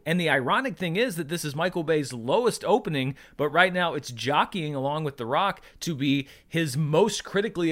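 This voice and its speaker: A man's voice: a little high, a bit bright. A man presenting film box office commentary, conversational and emphatic, as the host of his own show.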